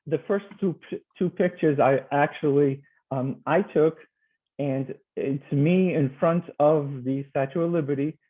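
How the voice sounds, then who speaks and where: A man's voice: a little high, measured pace. Male teacher on a tinny video call. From Portugal. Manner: friendly, hesitant, with many fillers. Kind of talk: presenting slides on a video call.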